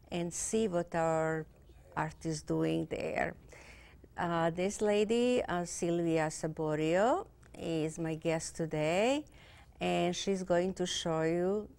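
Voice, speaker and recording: Woman, low voice, gravelly, fashion show host, hesitant english. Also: a little mellow. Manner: hesitant, many fillers, non-native grammar. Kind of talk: cable TV interview.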